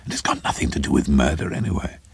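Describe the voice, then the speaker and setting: A jittery, short-tempered man on the edge of his nerves, played by a male narrator. The voice is low with some gravel, stammering and hesitant or snapping impatiently, recorded dry for a dark story. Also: expressive, fast, dry recording, exaggerated voice. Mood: impatient, startled. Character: nervous, impatient man afraid of going mad.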